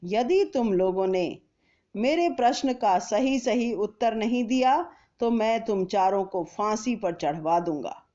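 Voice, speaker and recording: A woman voicing a stern, commanding male Mughal emperor in a storybook reading, with measured delivery. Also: medium pitch, animated, warm tone, dull audio, close to an everyday voice. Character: stern Mughal emperor, a man who threatens his courtiers.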